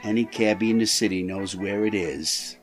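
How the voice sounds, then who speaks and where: A man's voice: lowish voice, moderate pace, faint music behind, natural voice. An actor playing a pushy, menacing 1920s Chicago gangster's henchman with streetwise tough-guy slang in an audio drama. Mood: brusque, businesslike. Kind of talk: period radio drama acting.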